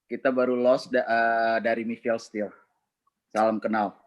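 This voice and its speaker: A man introducing himself on a video call, hesitant and halting. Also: mid-range voice, flat delivery, dull audio. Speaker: meeting participant. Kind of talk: self-introduction.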